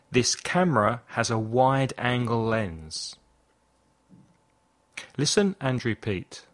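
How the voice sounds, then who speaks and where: A man hosting a language podcast, reading a scripted lesson and saying model phrases slowly and clearly for listeners to repeat. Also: medium pitch. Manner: slow, carefully articulated model phrases for repetition. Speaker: English teacher, podcast host.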